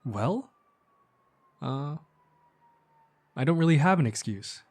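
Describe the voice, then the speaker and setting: A man's voice: a little high, expressive, slow, exaggerated voice. A young man playing a caring, earnest best friend in an intimate ASMR roleplay, talking in a casual, slightly hesitant, conversational way. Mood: hesitant, sincere. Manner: soft-hearted, hesitant, casual chatty phrasing.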